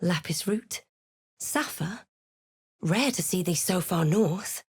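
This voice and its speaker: A woman voicing a wry, resolute adventurer and spellcaster in a fantasy game, with measured, dramatic delivery. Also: on the low side, lively intonation, breathy edge, no room sound, studio quality, exaggerated voice. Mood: wry, guarded. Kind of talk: fantasy game voice lines: narration, remarks, incantations.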